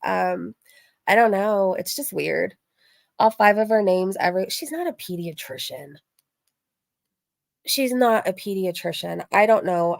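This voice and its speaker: A woman's voice: mid-range voice, creaky, a bit bright, dry recording, studio quality. A woman hosting a livestream in a casual, rambling, informal way, repeating phrases for emphasis as she chats. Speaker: livestream host reacting to drama and reading chat.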